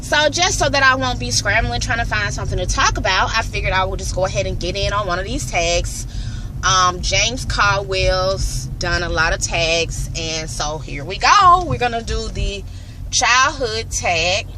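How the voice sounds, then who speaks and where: A woman's voice: medium pitch, normal speed, background noise. A young adult woman, chatting casually and rambling to camera in her car on the way home. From Birmingham, Alabama, US. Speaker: vlogger.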